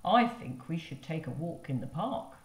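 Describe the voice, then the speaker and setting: Woman as an emphatic, animated storybook dad. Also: sits low, moderate pace. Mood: pleasant. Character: storybook father, adult man, emphatic head of family. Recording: roomy echo.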